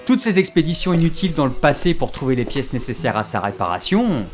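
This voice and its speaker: A man's voice: on the high side, big pitch swings, fast. A man playing a gloomy, wry time traveller in an audio drama, easily exasperated and given to hesitant explanations. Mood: wry, teasing. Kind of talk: French audio drama acting.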